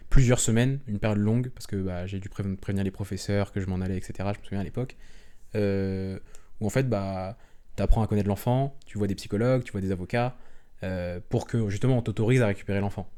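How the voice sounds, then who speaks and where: A young French man chatting openly as an interview guest, relaxed and rambling, with frequent restarts and fillers. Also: lowish voice, quick pace. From France. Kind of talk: casual conversational interview.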